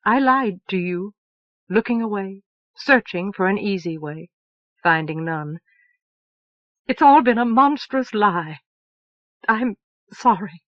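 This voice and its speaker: A woman voicing a slow, sorrowful older woman. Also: medium pitch, expressive, no echo, dull audio. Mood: guilty.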